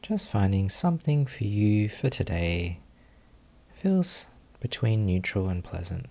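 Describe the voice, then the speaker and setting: A man leading a guided meditation as a teacher, giving gentle instructions with long pauses. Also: on the low side, sing-song.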